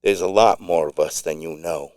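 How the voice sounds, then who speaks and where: A man's voice: deep voice, steady tone, normal speed, a little mellow, no room sound, lightly acted. A man voicing a taunting stranger with a Long Island accent.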